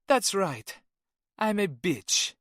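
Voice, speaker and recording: A man voicing a cold, ruthless young woman operative in an audiobook, calm and commanding. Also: high-pitched, extremely slow, no room sound, clean studio sound, pitched above the performer's own voice, full character voice. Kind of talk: audiobook character voice.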